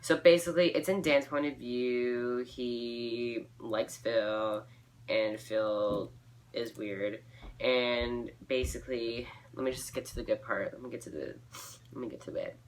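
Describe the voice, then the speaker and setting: A woman's voice: very deep voice, fairly even intonation, measured pace, darkish tone. A young woman reading her fan fiction aloud informally, breaking off for giggly, self-mocking asides.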